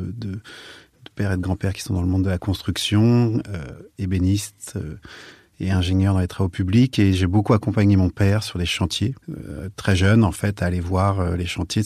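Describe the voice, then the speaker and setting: A French man, an architect, answering interview questions in a measured, soft way with many fillers. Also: sits low, dry recording, studio quality.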